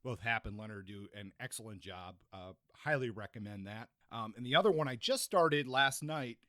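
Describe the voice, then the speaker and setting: Male podcast co-host, mid-pitched and bright, dry recording, informal book discussion. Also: animated, normal speed, no room sound.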